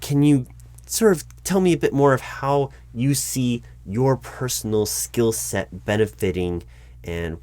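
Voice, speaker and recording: A man playing a patient but baffled corporate recruiting manager in a one-sided interview roleplay, speaking in a halting, stammering, diplomatic way. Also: medium pitch, darkish tone, dry recording, natural voice.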